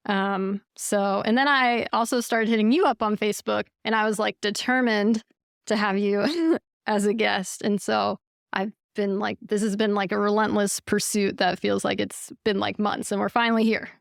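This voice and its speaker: A woman's voice: mid-range voice, steady tone, moderate pace, gravelly, dry recording, studio quality. A woman hosting a podcast interview, chatty and casual, with heavy use of "like" and "um". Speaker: podcast host.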